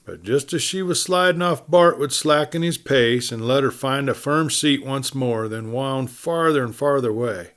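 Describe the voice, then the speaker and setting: A man's voice: medium pitch, normal speed, few pauses, fairly bright tone. American man narrating a western tale. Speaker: audiobook narrator. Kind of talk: dramatic storybook narration of a western.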